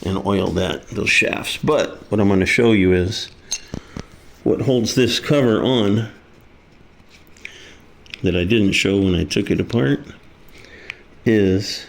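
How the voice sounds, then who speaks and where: A man's voice: fairly low pitch, takes it slowly, vocal fry, long pauses, slight background noise. A man giving a casual, hands-on repair demonstration, talking through each step in a folksy, informal way. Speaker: repair video presenter. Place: kitchen, indoors.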